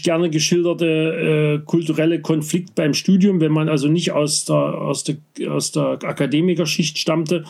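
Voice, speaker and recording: A man from Saxony with a slight Saxon accent, talking in a casual conversation, halting and full of restarts and fillers. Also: a little high, gravelly, a little mellow. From Saxony, Vogtland. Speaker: interviewee.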